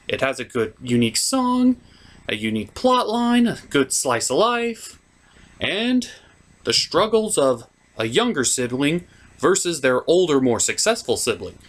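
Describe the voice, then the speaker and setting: Animated, higher-voiced man reviewing cartoons. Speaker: host of a cartoon review show. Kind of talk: comedic scripted review commentary with asides.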